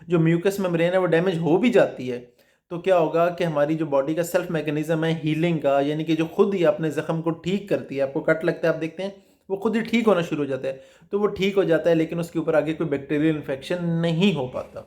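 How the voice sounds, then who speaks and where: Man teaching in a high voice. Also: fast, a little mellow. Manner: didactic, asks rhetorical questions, repeats points. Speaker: food specialist host. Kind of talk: explanatory health talk to camera.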